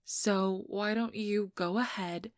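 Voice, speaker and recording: A woman's voice: medium pitch, fairly even intonation, slow, dry recording, studio quality, natural voice. A woman voicing a sullen, irritable vampire rival in an ASMR roleplay, curt and seething. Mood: cold hostility.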